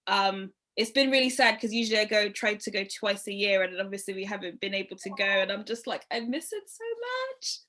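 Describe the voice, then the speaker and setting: A woman's voice: medium pitch, animated, a little fast, few pauses, sharp tone. A young woman hosting a casual online interview, upbeat and gushing, with many fillers. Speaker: interview host.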